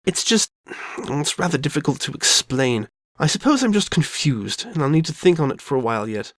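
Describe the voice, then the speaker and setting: A man's voice: a little high, very animated, normal speed, a bit bright, no room sound. A man voicing an elegant, genteel female pony with refined, theatrical and formal diction in a dramatic fan fiction reading. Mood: uncertain, gentle.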